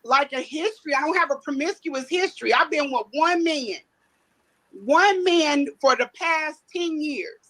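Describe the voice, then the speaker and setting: A woman's voice: high-pitched, a little slow, fairly bright tone, poor audio. A woman in her forties bluntly and crudely defending her personal history in an interview clip. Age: 40s.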